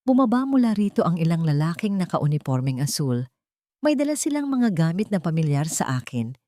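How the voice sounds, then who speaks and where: A woman's voice: lowish voice, very animated, fairly quick, mellow, no echo, studio quality. A woman with a Filipino accent narrating a scripted first-person story in a dramatic style. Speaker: audiobook narrator.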